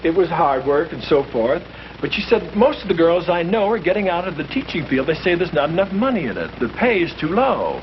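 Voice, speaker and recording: A priest speaking earnestly to a small group, with a lively, preacherly way of stressing his points. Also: a little high, fairly quick. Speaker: priest leading a discussion. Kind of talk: earnest scripted speech in a 1951 short film. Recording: muffled old film soundtrack with hiss.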